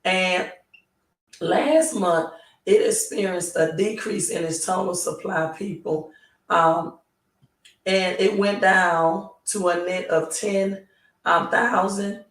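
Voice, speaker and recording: A hoarse woman hosting a live stream, talking slowly and pausing often to ask "right?". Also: fairly low pitch. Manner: slow, halting, repeats "right?". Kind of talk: casual live stream talk. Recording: roomy, distant mic.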